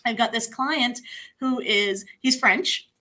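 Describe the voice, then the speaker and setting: A woman's voice: fairly high pitch, slow, sharp tone. A woman in a radio studio chatting casually as an accent coach, explaining things patiently and often checking in with "right?".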